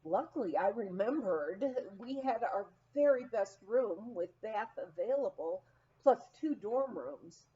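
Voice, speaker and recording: A woman reading a humorous memoir aloud as scripted narration, in an expressive, storytelling manner. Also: mid-range voice, highs cut off. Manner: expressive, unhurried storytelling with acted quotes. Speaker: audiobook reader.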